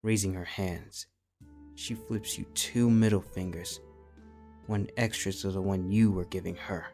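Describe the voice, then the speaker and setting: Male narrator, low register, scripted fan fiction storytelling addressed to "you", light music bed. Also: fairly even intonation, a little slow, darkish tone.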